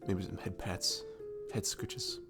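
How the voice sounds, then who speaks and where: A man playing a cute, comforting barista in a soft ASMR roleplay, talking in a gentle, rambling, hesitant way. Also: low voice, steady tone, takes it slowly, loud music behind the voice, full character voice. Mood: sympathetic. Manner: gentle, rambling, hesitant, breathy chuckles and sighs. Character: cute, sweet, comforting young male barista chatting.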